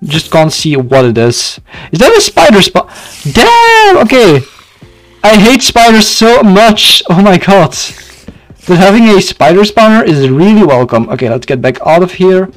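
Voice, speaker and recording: A man's voice: sits high, very animated, measured pace, low-quality recording. A young man from Belgium with a Dutch accent, casually commenting on a game for his live chat and often tripping over his words. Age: young adult. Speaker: video game livestreamer.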